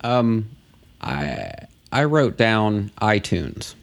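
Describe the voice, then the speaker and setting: A man with a fairly low voice co-hosting a relaxed podcast talk. He thinks aloud, pausing on fillers as he works his way toward a point. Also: creaky. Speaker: podcast co-host, DJ. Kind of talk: casual chat.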